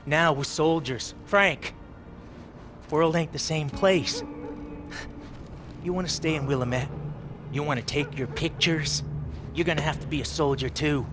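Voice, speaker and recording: A man plays a backwoods raider leader in a video game cutscene. His voice sits a little high for a man and carries an aggrieved, theatrical menace in a rural drawl. Music plays clearly underneath. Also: unhurried, pauses often, lightly acted. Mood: bitter, menacing.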